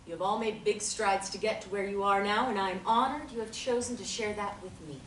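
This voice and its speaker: A woman reading the part of a calm group therapist at a table reading. She speaks in a measured, gently encouraging manner. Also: mid-range voice, a little hiss or hum, close to an everyday voice. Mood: reassuring. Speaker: reader of stage directions and the therapist. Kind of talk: table reading of a stage play. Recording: echoey room from a distant mic.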